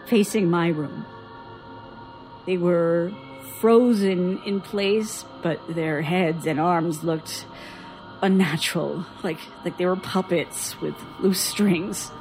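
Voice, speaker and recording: A haunted woman recalls childhood terrors in a horror audio drama. She speaks very slowly and haltingly, with an uneasy, suspenseful hush and faint music underneath. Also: fairly low pitch. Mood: fearful, halting. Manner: slow, halting, hushed suspense. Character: haunted woman recounting frightening childhood memories to a doctor.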